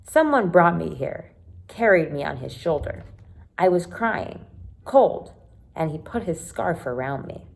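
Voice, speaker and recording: A woman's voice: lowish voice, sing-song, measured pace, creaky, full character voice. A woman voicing an anxious, haunted boy in a storybook, speaking in a hesitant, uneasy way. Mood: uneasy, sad. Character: anxious, haunted boy afraid of being recognized.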